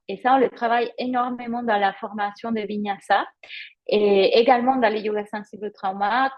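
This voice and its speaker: High-voiced Spanish-accented woman teaching. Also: muffled recording. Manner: explanatory, pauses to find words, gives personal examples. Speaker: yoga teacher trainer. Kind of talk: live webinar teaching, conversational.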